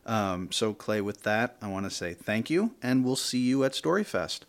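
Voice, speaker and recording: A man's voice: fairly low pitch, lively intonation, moderate pace. A man hosting a casual radio interview.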